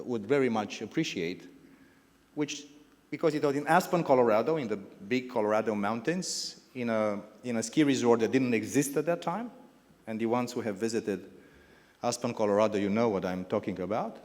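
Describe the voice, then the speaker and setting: A middle-aged man with a Romanian accent gives a halting welcome speech as founding president, restarting his phrases and piling up lists of words. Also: mid-range voice, expressive, a little mellow. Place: large indoor gala hall. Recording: reverberant banquet hall over a PA.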